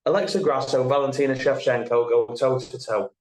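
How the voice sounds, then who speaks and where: Male podcast co-host, medium-pitched, explanatory and conversational, working through examples, recorded with noticeable room echo. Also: steady tone, a little fast, a little mellow. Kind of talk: casual sports podcast discussion. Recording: roomy, echoey sound.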